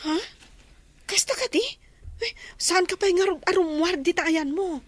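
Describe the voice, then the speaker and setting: An actress playing a concerned, inquisitive young restaurant worker in a Filipino radio drama. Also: female voice, very high-pitched, moderate pace, dry recording.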